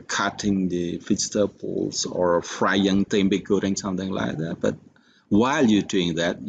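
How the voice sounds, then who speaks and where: A man with an Indonesian accent walks through a software tutorial in a low, creaky voice. He speaks haltingly and at a slow pace, feeling his way from step to step. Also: dull audio, a little hiss or hum. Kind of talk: unscripted screen-recorded tutorial.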